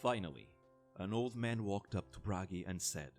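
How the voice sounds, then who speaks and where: A man's voice: sits low, lively intonation, normal speed, a little mellow, faint music behind. A man narrating a scripted fantasy war story as the narrator of an audio drama.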